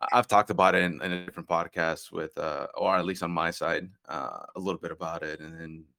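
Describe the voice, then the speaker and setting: A young man with a very low, gravelly voice talks in a loose, rambling way. He fills his sentences with "like" and "uh" and restarts often, as if thinking aloud in casual conversation. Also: steady tone, a little fast, bright, forceful tone. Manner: rambling, many fillers and restarts. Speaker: podcast guest.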